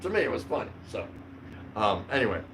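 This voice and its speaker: A man's voice: medium pitch, big pitch swings, fairly quick, vocal fry, fairly bright tone, slight background noise, low-quality recording. An older man hosting a casual livestream, rambling along in a jokey, mildly profane way. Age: older, around 60. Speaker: livestream host. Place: small curtained room indoors.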